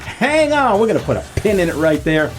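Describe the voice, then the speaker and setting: A man's voice: sits high, big pitch swings, fairly quick, faint music behind, rough recording. A man hosting a radio show in a casual, conversational way. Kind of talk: casual chat.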